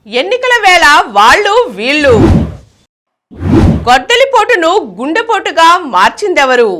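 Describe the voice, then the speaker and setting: A woman's voice: high-pitched, a bit bright. A woman reading scripted news items as a news reader.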